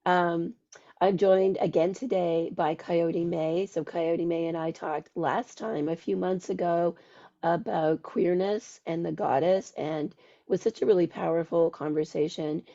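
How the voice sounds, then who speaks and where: A woman hosts a podcast, her voice squeaky from a cold. She speaks slowly in a dark, gravelly tone and reads a ritual invocation in a deliberate chant. The sound is dull and lo-fi. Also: steady tone. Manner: slow, deliberate, chanting readings. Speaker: podcast host. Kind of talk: casual podcast chat and ritual invocation reading.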